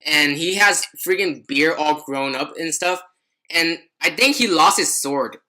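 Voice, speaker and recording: Male podcast host, bright tone, loose rambling casual talk full of filler words. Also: fairly high pitch, animated, unhurried. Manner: rambling, leans heavily on "like". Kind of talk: casual chat.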